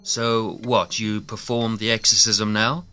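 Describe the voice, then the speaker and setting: A man's voice: fairly low pitch, little pitch movement, unhurried, bright, forceful tone, soft background music. An actor playing a weary, distressed but loving husband and father in an audio play. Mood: exasperated. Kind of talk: dramatic audio play acting.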